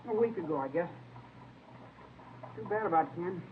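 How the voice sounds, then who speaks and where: A man's voice: sits high, expressive, moderate pace. A man playing a jumpy, evasive eighteen-year-old soda fountain boy in a vintage radio drama. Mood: nervous, evasive. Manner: jumpy, evasive, short answers. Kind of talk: 1950s radio drama acting. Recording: tinny, faintly noisy vintage radio recording.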